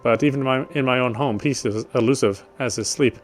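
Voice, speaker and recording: A man's voice: mid-range voice, brisk, a little hiss or hum. Man playing through a story game aloud. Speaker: game streamer. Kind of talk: casual let's play commentary and reading story text aloud. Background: game music.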